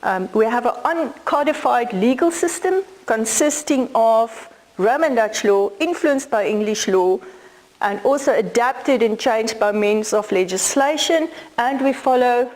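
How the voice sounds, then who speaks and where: A woman's voice: fairly high pitch, measured pace, darkish tone, rough recording. A middle-aged South African woman whose first language is Afrikaans, giving a law lecture in a conversational, explaining way with some fillers. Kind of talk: academic lecture.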